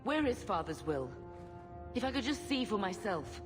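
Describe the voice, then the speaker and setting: A woman's voice: mid-range voice, sing-song, moderate pace, natural voice. An actress playing a proud, hurt mother of noble birth, speaking insistently. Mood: wounded. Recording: game audio with music.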